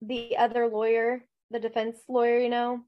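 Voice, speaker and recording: A woman's voice: on the high side, completely flat delivery, normal speed, muffled recording. A woman co-hosting a true-crime podcast, chatting casually with a stuffy nose, rambling with lots of fillers and laughs. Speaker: podcast co-host.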